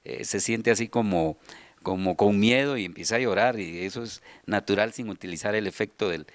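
A middle-aged man with a Guatemalan accent explaining things in an interview, often pausing to find his words. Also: on the low side, dry recording. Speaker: children's radio theater subdirector and voice announcer. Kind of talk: interview answers, explanatory talk. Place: large hall with seated group, indoors. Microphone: handheld interview microphone.

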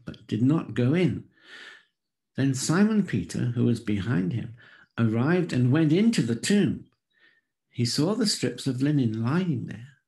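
A man in his sixties giving a solemn, theatrical dramatic Bible reading with hushed pauses, close on a studio mic. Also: mid-range voice, vocal fry. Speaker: scripture reader. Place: small room at home. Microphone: close studio condenser with pop filter.

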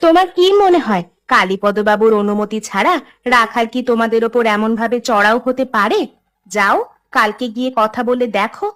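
A woman's voice: fairly high pitch, moderate pace, fairly bright tone, natural voice. A woman voicing a caring, sensible village housewife in a cartoon, with a soothing, advising manner. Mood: reassuring. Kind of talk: Bengali cartoon voice acting.

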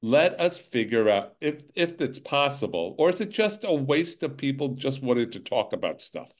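An older man hosting a webinar over a video call, talking in a halting way that restarts phrases and sounds worn out. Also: mid-range voice, very animated. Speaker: webinar host. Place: home room, indoors.

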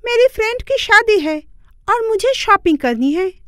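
A woman's voice: very high voice, lively intonation, normal speed, fairly bright tone, no echo, clean studio sound, pitched above the performer's own voice. A woman voicing a spoiled, stubborn, haughty young woman in a cartoon story, demanding and petulant. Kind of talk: Hindi cartoon moral story acting.

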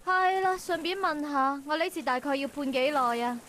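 A woman's voice: high voice, brisk, fairly bright tone, slight background noise. A woman voicing a cocky, teasing young lady thief in a visual novel, playful and theatrical, with a Hong Kong Cantonese accent. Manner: playful, theatrical, mock-villainous. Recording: game voice track over music.

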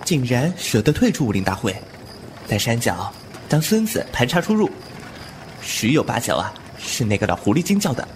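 一名男演员在武侠广播剧中饰演一位莽撞顽皮、言辞犀利的年轻侠客。. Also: male voice, medium pitch, very animated, normal speed, slight background noise, lightly acted. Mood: scornful. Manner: impulsive, sharp-tongued, irreverent. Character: brash, cheeky young male martial-arts hero. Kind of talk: Chinese wuxia audio drama acting.

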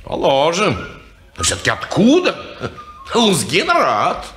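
A man's voice: on the high side, wildly swooping pitch, slow pace, fairly bright tone, echoey room, soft background music, exaggerated voice. An actor playing a nosy, mocking, suspicious man in a 1950s radio play. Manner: prying, mocking.

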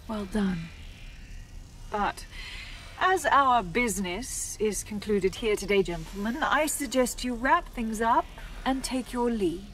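An actress playing a commanding research official in a video game, formal, measured and authoritative. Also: female voice, a little high, animated, a bit bright. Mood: cool, businesslike. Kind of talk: video game cutscene acting.

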